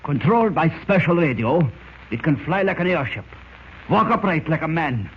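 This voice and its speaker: An actor playing a cold, fanatical German spymaster in a 1940s radio drama, with a German accent and a formal, deliberate, menacing manner. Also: male voice, a little high, very animated, slightly rough. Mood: cold, intent. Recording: scratchy, muffled old-time radio recording.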